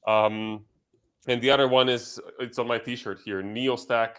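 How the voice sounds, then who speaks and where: A man's voice: mid-range voice, level tone, unhurried, fairly bright tone, clean tone. A man from Croatia with a Croatian accent, talking casually and chattily as a guest on a panel, often interrupting himself. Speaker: guest, tech entrepreneur.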